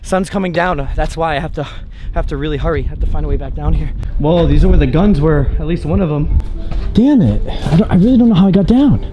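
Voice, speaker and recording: Excitable young man exploring a fort. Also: fairly high pitch, fast, muffled recording. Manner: excitable, breathless, thinks aloud. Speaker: urban explorer vlogger. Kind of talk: casual handheld vlog narration. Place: inside an abandoned sea fort, concrete corridors and rooftop.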